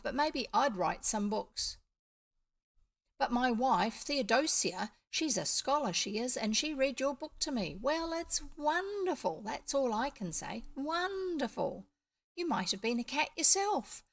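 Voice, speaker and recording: A woman plays an eager, scheming working man from a storybook. The talk is folksy and emphatic with rough grammar, pitched up high with a creaky edge. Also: very animated, unhurried, no echo, exaggerated voice. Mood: eager, admiring. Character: uneducated, eager, scheming cat's meat man.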